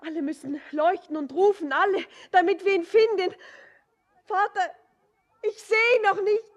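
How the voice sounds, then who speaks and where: An actress playing an anxious, lovesick young daughter in a theatrical German radio drama, breathy and often whispering, with fervent, halting, imploring delivery. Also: female voice, extremely high pitch, highs cut off. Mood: rising panic.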